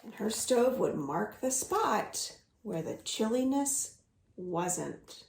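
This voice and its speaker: A young woman leading a children's storytime from home, reading stories and singing rhymes in a lively sing-song way. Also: medium pitch, slow pace, vocal fry, reverberant, a little hiss or hum. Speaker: children's librarian.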